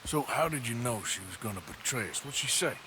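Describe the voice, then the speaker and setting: An actor playing a weary, sceptical outlaw in a video game, with an American accent and a blunt, sardonic delivery. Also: male voice, mid-range voice, expressive, a little fast, background noise, natural voice. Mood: troubled, accusing. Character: weary, sceptical male outlaw, doubting his leader. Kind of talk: video game cutscene acting. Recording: game music behind.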